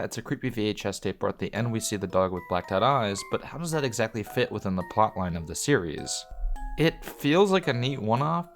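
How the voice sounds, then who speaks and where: A man delivering a scripted, opinionated review as a video host, earnest and measured. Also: lowish voice. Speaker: YouTube reviewer.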